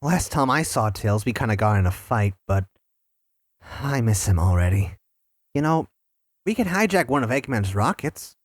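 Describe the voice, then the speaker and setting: A man voicing an excitable, cocky young cartoon hedgehog in a fan audio drama, boastful and eager. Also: mid-range voice, moderate pace, no room sound, studio quality, lightly acted. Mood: earnest.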